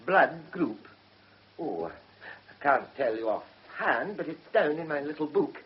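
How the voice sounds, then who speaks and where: An actor playing a rambling, evasive witness, hesitant and chatty, in a radio drama. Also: male voice, on the high side, sing-song, takes it slowly. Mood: flustered, rambling. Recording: muffled, hissy old radio recording.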